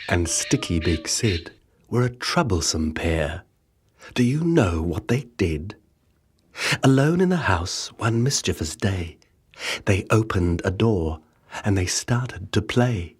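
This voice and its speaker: A man narrating a children's picture book in rhyme, with dramatic, measured, expressive storytelling. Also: deep voice, husky. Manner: dramatic, measured storytelling with rhythmic rhyming delivery. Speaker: audiobook narrator. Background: music.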